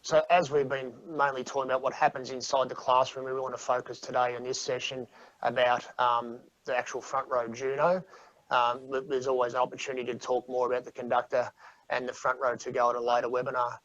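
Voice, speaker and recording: A man's voice: medium pitch, level tone, fairly quick, fairly bright tone, no room sound. A man presenting a webinar to teachers in a casual, chatty way over a video call. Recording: dull dry video call audio.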